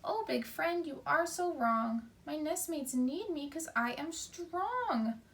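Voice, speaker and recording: A woman's voice: high-pitched, lively intonation, slow pace, vocal fry, a bit bright, a little hiss or hum, pitched above the performer's own voice, exaggerated voice. A woman voicing a tiny, earnest storybook ant that pleads bravely and emphatically. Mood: earnest pleading.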